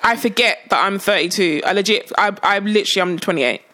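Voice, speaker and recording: A woman's voice: mid-range voice, quick pace, sharp tone. A woman in her thirties, chatting casually as a podcast co-host, emphatic and rambling, with many fillers. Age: 30s. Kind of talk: casual chat.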